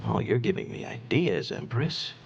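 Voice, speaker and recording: A man's voice: mid-range voice, very animated, normal speed, gravelly, lo-fi sound, background noise. A man playing a roguish, irreverent alien senator in a video game, talking casually with teasing, lewd confidence.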